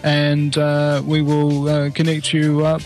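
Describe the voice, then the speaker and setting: A man hosts a talk radio show in a slightly high voice. He rambles loosely and fills gaps with lots of "uh"s. Faint music plays behind him. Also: completely flat delivery, takes it slowly, darkish tone. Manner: rambling, filler-heavy, self-deprecating.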